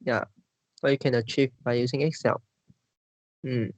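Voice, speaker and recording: A man's voice: mid-range voice, steady tone, normal speed, no echo. A man with a Malaysian English accent, leading a casual discussion and stumbling through many restarts and fillers. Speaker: discussion leader.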